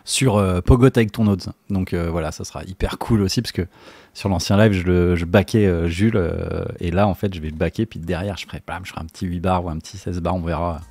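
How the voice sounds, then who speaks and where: A man chatting casually on a livestream into a streaming microphone, telling stories in an animated, informal, slangy way. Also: sits low, fairly quick, no room sound. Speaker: music producer and Twitch streamer.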